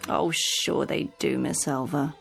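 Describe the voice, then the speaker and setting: An actress playing a patient, practical housekeeper in a radio drama, with a soothing, coaxing manner. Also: female voice, deep voice, huge pitch swings, a little slow, creaky, faint music behind, exaggerated voice. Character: kindly, patient, practical female daily housekeeper.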